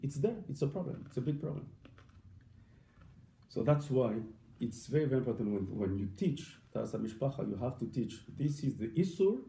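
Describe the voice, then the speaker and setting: Rabbi guy teaching a class, stops and repeats a lot, gravelly low-toned voice, echoey room. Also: animated, warm tone. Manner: halting, repeats words, mixes Hebrew and Yiddish terms. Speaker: rabbi teaching family purity law. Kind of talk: informal lesson. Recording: echoey room, faint background noise.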